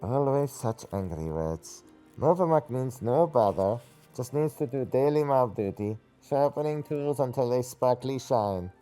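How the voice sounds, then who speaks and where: A man's voice: medium pitch, animated, measured pace, warm tone, faint music behind, voice pushed up, natural voice, rough recording. A man voicing a devoted, stubborn female moblin helper from a video game, who speaks of herself in the third person. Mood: patient, insistent. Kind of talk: video game dialogue read aloud in character.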